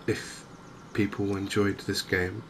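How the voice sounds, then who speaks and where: A softly spoken man giving hesitant, unhurried ASMR-style commentary over a video game. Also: fairly low pitch, little pitch movement, poor audio. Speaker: gaming video host. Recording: clear game ambience noise behind voice. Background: outdoor wildlife ambience from a fishing game.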